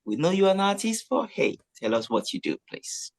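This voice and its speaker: A man's voice: high voice, sing-song, normal speed, creaky. A man hosting a radio interview, friendly and chatty, with frequent filler phrases. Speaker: radio host.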